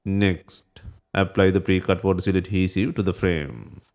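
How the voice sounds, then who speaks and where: Deep-voiced man narrating a repair tutorial. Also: steady tone, normal speed, a little mellow. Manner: terse step-by-step instructions. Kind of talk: scripted instructional narration.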